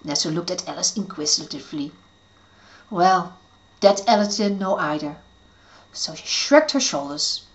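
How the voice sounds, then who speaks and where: A woman reading her own story aloud to children in an expressive way. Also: fairly low pitch, moderate pace, long pauses. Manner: expressive, lively read-aloud.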